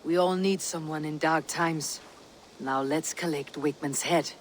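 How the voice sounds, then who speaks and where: An actress playing a loyal Viking warrior woman in a video game, speaking in a terse, solemn, measured way. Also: deep voice, steady tone, a little hiss or hum. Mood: grave, resolute. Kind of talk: dramatic video game acting.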